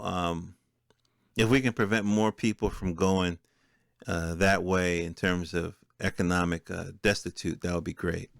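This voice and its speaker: A man's voice: low voice, vocal fry, a bit bright, no room sound, studio quality. A man hosting a podcast solo, talking off the cuff in a streetwise, colloquial way. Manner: streetwise, colloquial, peppered with profanity. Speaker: podcast host. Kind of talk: casual, unscripted solo monologue.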